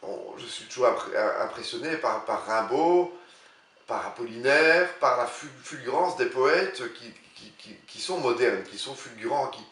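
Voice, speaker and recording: A man in his sixties, an author answering interview questions in a halting way, often repeating words as he searches for them. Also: medium pitch, expressive, a bit bright, distant mic. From Belgium. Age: 60s. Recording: roomy sound, voice a bit off-mic.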